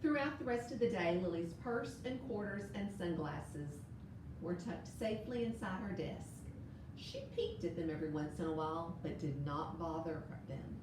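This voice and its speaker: A woman reads a children's picture book aloud in an expressive storytelling manner. She is recorded in a heavily echoing room, some distance from the microphone, with clear noise in the background. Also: lowish voice.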